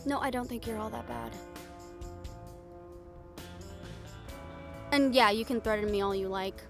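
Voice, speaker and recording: A woman's voice: a little high, fast, gravelly, over music. A woman playing a bold, sarcastic, foul-mouthed reporter in an improvised audio roleplay, speaking in a candid, conversational way. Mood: frank, coaxing. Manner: conversational, exasperated, candid, with sighs and swearing.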